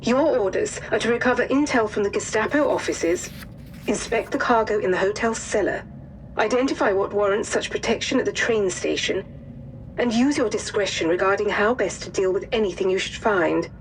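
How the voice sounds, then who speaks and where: An actress playing a formal wartime intelligence officer, delivering a measured, precise mission briefing. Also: female voice, mid-range voice, slight background noise, lightly acted, poor audio. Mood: formal, businesslike. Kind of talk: scripted mission briefing narration.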